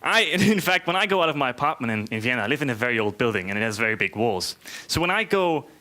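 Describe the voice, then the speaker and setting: A man's voice: mid-range voice, big pitch swings, quick pace, pushed and bright. A man from Austria, giving an informal, chatty, joking tech conference talk and often checking in with "right?". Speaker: conference speaker, software developer. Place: conference room, live talk to an audience.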